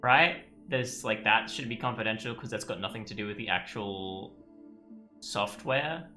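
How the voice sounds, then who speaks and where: A man's voice: on the low side, normal speed, pushed and bright, soft background music. A man doing casual let's play commentary, rambling and self-deprecating. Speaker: game streamer.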